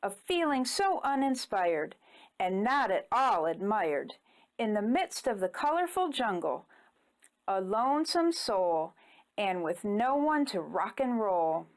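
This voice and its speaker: A woman reading a rhyming children's story aloud in an expressive, deliberate, theatrical way. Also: on the high side. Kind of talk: rhyming picture-book read-aloud.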